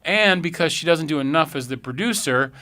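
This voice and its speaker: A man's voice: a little high, lively intonation, fairly quick, pushed and bright, no echo. A man hosting a radio show, chatting casually and live from the studio.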